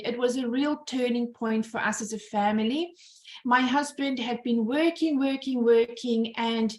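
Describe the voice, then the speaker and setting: A South African woman in her fifties, telling her personal story in a presentation over a video call. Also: on the high side. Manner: earnest storytelling with rhetorical questions. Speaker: business owner giving a presentation. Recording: roomy echo.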